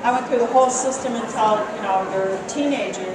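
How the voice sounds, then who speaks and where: A woman's voice: fairly high pitch, brisk. A middle-aged woman from Colorado, a quilt artist and teacher, chatting casually and self-deprecatingly with plenty of fillers, recorded from a distance at a show. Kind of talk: casual demonstration and interview. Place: large echoey hall at a quilt show. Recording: echoey hall from far off, loud ambient noise.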